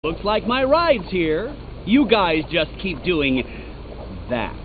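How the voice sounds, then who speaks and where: Game trickster villain, a boastful riddle fanatic: highish, lively sneer, muffled capture with faint noise. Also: male voice, slow pace, crowd noise, exaggerated voice. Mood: mocking. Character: cocky, mocking male riddle-loving supervillain trickster. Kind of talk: video game villain voice acting.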